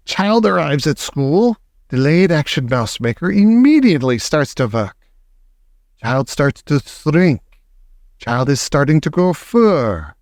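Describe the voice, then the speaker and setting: A man voicing a gloating old female witch leader who shouts in broken grammar. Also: a little high, big pitch swings, slow, no echo, clean studio sound, heavily acted.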